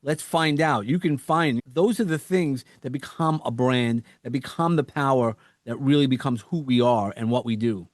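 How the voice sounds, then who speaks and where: A man with a New York accent, a coach talking as a podcast guest, energetic and quick to repeat words and act out quotes. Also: medium pitch, no room sound, studio quality. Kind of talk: casual interview chat.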